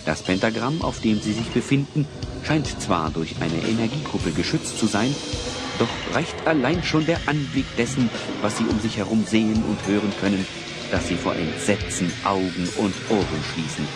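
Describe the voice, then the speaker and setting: A man's voice: fairly low pitch, animated, normal speed. A man giving dramatic scripted narration as the narrator of a German children's audio drama. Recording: loud music and effects bed.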